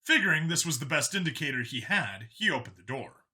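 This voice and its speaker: Very bright-voiced male story narrator. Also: a little high, sing-song, fairly quick. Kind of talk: scripted narration of a sci-fi action story.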